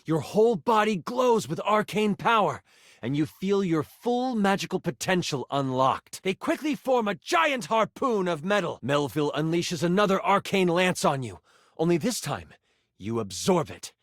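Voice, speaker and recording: A theatrical game master from a fantasy video game. His pitch sits high and swings freely as he builds dramatic suspense through the narration. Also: very animated, normal speed, dry recording, studio quality. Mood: dramatic, suspenseful. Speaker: game character voice.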